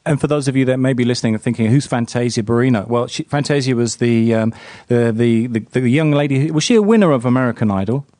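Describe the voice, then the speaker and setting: Quick-talking radio host. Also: male voice, medium pitch, warm tone, no room sound, studio quality. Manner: quick, chatty, hesitant fillers. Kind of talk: radio interview.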